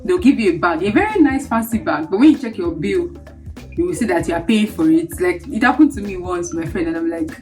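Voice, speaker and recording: Animated young Nigerian woman vlogger chatting. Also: on the low side, brisk, faint music behind. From Lagos, Nigeria. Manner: animated, exclamatory, acting out reactions. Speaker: vlogger, international student. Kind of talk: casual chatty storytelling to camera.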